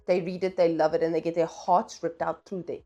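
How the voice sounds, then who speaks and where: A woman's voice: lowish voice, level tone, a little fast, dark tone, dull audio, faint music behind. A woman talking casually in a book vlog, chatty and playful, with slangy, self-deprecating asides. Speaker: book vlogger.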